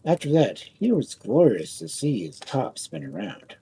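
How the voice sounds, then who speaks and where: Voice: medium pitch, big pitch swings, unhurried, vocal fry, dark tone, breathy edge. A storyteller reading a scripted fairy tale as narration.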